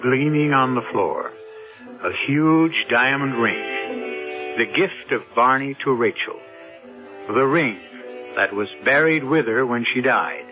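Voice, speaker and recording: Narrow, muffled old radio sound with clear music behind carries an American man narrating a mystery. His voice is mid-pitched and his delivery measured, theatrical and wry, as a seventies radio host. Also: lively intonation.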